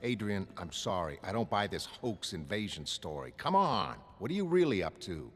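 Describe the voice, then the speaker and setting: A man's voice: sits low, very animated, normal speed, faint music behind. A man voicing an earnest, disbelieving retired costumed hero, agitated and protesting, in a motion comic. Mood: angry disbelief. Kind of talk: dramatic motion comic narration.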